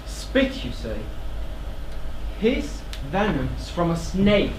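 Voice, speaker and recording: Man acting slow, earnest, defiant accused nobleman. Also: high-pitched, sing-song, a little mellow, far from the mic, heavily acted. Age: young adult. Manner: slow, declamatory. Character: accused royal brother-in-law, earnest and defiant. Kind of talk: theatrical stage tragedy delivery. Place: theatre stage. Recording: echoey theatre from afar, faint noise.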